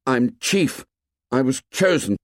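A man's voice: mid-range voice, big pitch swings, slow pace, no echo, studio quality. A man voicing an earnest, beleaguered boy chief, insistent and a little unsure, in an audiobook. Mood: urgent insistence.

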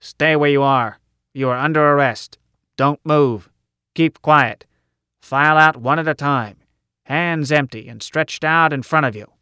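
Man acting a booming, commanding armed guard. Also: a little slow, clear, resonant tone, no room sound, studio quality. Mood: stern, commanding. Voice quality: booming bull voice. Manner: loud, clipped commands.

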